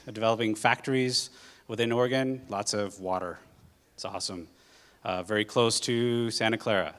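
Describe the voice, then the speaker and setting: A man's voice: mid-range voice, clear, resonant tone. A man from Hawaii giving a casual, conversational talk to students as a guest speaker, with lots of filler ums and uhs. He says he is jet-lagged. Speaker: guest speaker, corporate general manager. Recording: long hall reverb.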